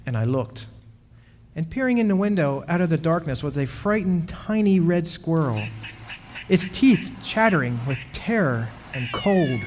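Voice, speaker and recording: Man playing a henpecked husband, highish voice, echoing hall. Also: expressive, unhurried, a little mellow, a little hiss or hum. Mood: wry, uneasy. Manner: conversational, wry, aggrieved, hesitant. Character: henpecked, long-lived husband haunted by his witch wife. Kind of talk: old-time radio drama, storytelling monologue. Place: stage performance in a club hall. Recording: hall reverb, music behind.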